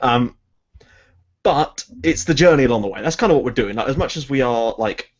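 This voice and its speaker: A man in a mid-pitched voice, easygoing and self-deprecating, wandering a bit as he talks through his game. The sound is dull and lo-fi, like an online voice call. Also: brisk. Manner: self-deprecating, relaxed, rambling. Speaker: guest, game video creator. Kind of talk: casual chat.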